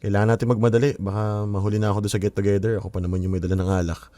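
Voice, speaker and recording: A man's voice: lowish voice, little pitch movement, racing, dark tone, no room sound, lightly acted. Man playing a nosy young man. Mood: casual. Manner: inquisitive, pressing, casual Taglish. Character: curious pushy young man. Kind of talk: radio drama acting.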